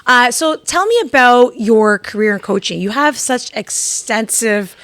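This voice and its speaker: A woman's voice: a little high, unhurried, a bit bright, no echo. Chatty woman host, dry close sound. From Canada. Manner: chatty, laughs easily. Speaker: podcast host. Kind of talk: casual interview chat.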